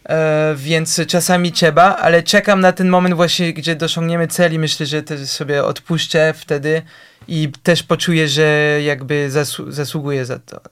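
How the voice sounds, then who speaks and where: A man, a guest musician in a casual interview, who speaks haltingly and leans on lots of fillers. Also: on the high side, flat delivery, fairly bright tone, smooth. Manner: hesitant, halting, heavy use of fillers.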